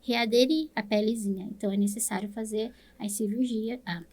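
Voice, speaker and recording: Brazilian woman explaining patiently, slightly high. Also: steady tone, fairly quick, darkish tone. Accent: Brazilian Portuguese. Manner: explains patiently, ends phrases with "né?". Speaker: speech therapist guest. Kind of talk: explanatory interview talk.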